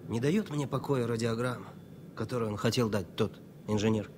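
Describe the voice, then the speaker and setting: An actor playing an uneasy, deferential flight radio operator in a Soviet radio drama. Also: male voice, medium pitch, fairly quick, natural voice. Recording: noisy old radio play recording.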